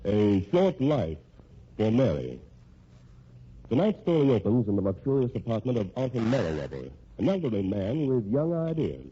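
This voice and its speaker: Dark-voiced, animated male radio announcer. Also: on the low side, normal speed, breathy edge. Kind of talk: old-time radio scripted narration. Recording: muffled old radio recording, faint music.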